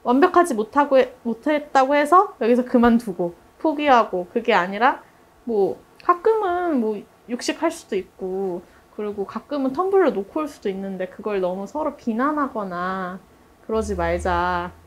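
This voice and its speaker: A woman with a fairly high voice leads a relaxed group conversation. She talks in a chatty, storytelling way, as if among colleagues she knows well. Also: normal speed. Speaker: interviewer and project member leading the discussion. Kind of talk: casual group discussion.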